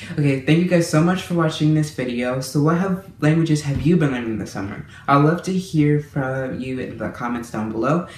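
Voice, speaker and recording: A man talks casually into the camera, friendly and chatty, now and then restarting a word. His voice sits at an ordinary middle pitch. The room gives it a noticeable echo, with a faint hum of ambient noise underneath. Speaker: language-learning vlogger. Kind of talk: casual monthly update to camera.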